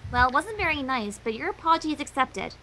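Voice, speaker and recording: A woman's voice: sits high, very animated, fast talker, pushed and bright, slight background noise, exaggerated voice, rough recording. A woman voicing a prim, motherly young unicorn pony with a politely scolding manner in a fan fiction reading. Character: motherly young adult female unicorn pony, prim, embarrassed, mock-villainous.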